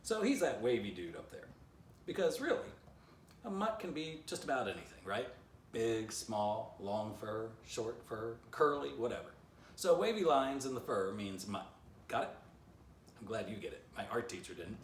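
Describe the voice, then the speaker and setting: A man's voice: medium pitch, big pitch swings, moderate pace, a little hiss or hum. Playful man reading his children's book. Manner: playful, chatty asides to young listeners. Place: living room by a fireplace, indoors. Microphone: camera a few feet away. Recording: roomy, slightly distant home recording.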